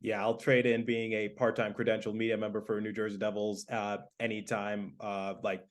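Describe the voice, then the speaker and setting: A man from Michigan hosting a sports podcast, asking questions in a halting way with many fillers. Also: lowish voice, level tone. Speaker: podcast host, hockey play-by-play announcer. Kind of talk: casual interview chat.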